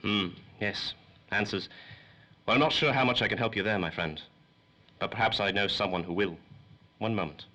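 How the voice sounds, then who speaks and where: A man's voice: on the low side, normal speed, pauses often, bright, forceful tone. A man playing a courteous, secretive old doctor with formal, conspiratorial manners in 1930s radio play style. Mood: polite. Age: older.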